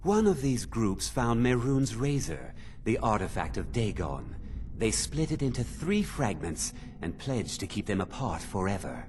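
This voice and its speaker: A man's voice: lowish voice, sing-song, measured pace, darkish tone, slight background noise. An actor playing an eager, ominous museum keeper in a video game, telling his lore in a dramatic, theatrical way. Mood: businesslike, intriguing. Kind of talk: scripted video game dialogue.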